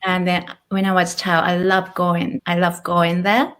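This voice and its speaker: A Japanese woman in her thirties, speaking English with a Japanese accent as an interview guest, thoughtful and relaxed with small restarts. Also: fairly low pitch, moderate pace, clean tone. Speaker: guest, author and illustrator. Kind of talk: casual interview conversation.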